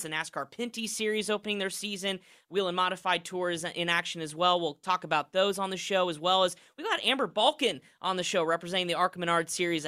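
A man's voice: high-pitched, pushed and bright, smooth. A man hosting a racing talk show from a studio, chatty and upbeat with frequent restarts. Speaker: racing podcast host. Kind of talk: casual sports talk.

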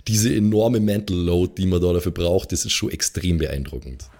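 Low-pitched man chatting casually. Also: normal speed, warm tone. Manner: colloquial, peppered with English slang. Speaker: game master and player on a role-play podcast. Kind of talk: casual chat.

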